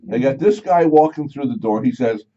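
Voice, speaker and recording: A man's voice: medium pitch, expressive, normal speed, warm tone. A man hosting a morning radio talk show, telling rambling stories and joking. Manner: rambling, jokey, acts out quotes of other people. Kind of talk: chatty radio talk, storytelling. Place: radio studio. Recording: slightly muffled radio broadcast.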